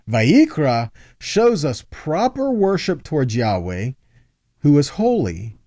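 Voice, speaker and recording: A man's voice: medium pitch, warm tone, no room sound, studio quality. A man giving a sermon-style Bible lesson, reading scripture aloud and explaining it, repeating key phrases for emphasis and checking in with short tag questions. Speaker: Bible teacher.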